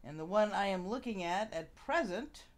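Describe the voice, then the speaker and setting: Woman casually hosting, mid-pitched. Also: expressive, moderate pace. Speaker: live show host. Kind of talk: casual live-stream chat.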